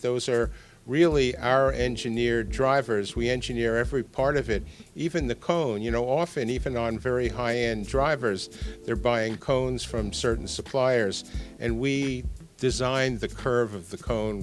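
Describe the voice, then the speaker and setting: A man's voice: mid-range voice, moderate pace, faint music behind. An older man being interviewed as a product designer, explaining technical details and repeating words for emphasis.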